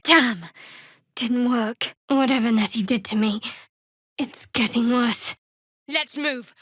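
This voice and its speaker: A woman playing a guarded, sardonic young cleric of a dark goddess in a video game, with dry, clipped delivery. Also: a little high, no room sound. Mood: urgent, clipped. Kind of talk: video game character dialogue.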